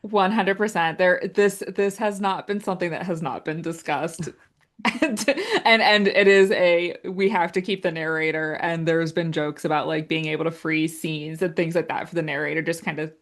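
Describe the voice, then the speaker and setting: A woman chatting casually as a writer guest on a podcast, self-deprecating and quick to laugh. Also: on the low side, steady tone. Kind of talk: casual chat.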